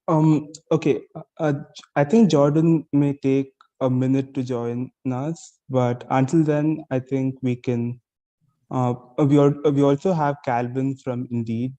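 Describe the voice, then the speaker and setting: A man's voice: medium pitch, fairly even intonation, unhurried, dark tone, dull audio. Hesitant man stalling for time. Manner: hesitant, many uhs. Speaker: event moderator.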